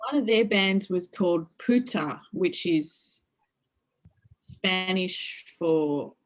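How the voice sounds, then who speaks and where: Woman, middle-aged, interview guest, lecturer, very slow pace, thoughtful, fillers and restarts. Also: on the low side, lively intonation, low-quality recording. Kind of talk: academic interview talk.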